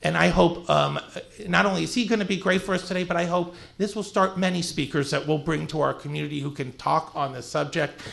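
A man's voice: a little high, fairly even intonation, a little fast, creaky, fairly bright tone. A man hosting a community event, sincerely introducing the guest speaker. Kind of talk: formal introduction.

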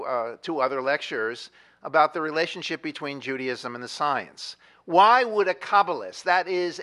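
A man's voice: on the high side, fairly bright tone. Rambling middle-aged male history lecturer. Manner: discursive, many fillers and restarts, asks the audience questions. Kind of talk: university lecture. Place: lecture hall. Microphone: moves away from the microphone at times.

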